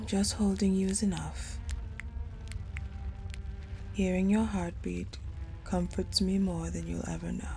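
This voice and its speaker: A woman playing a cold, possessive female general in an intimate audio roleplay monologue, speaking in a hushed, deliberate way with pauses. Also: medium pitch, little pitch movement, gravelly. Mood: tender, reassuring. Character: cold, possessive ice general confiding in her captive beloved. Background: music.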